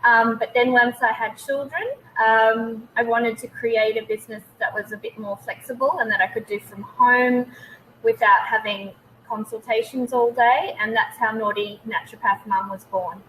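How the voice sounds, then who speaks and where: A woman in her thirties with an Australian accent, talking as a naturopath guest in a casual interview, pausing often with ums. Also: fairly high pitch, fairly even intonation, fairly bright tone, slight background noise, poor audio. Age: 30s. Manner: hesitant, many ums.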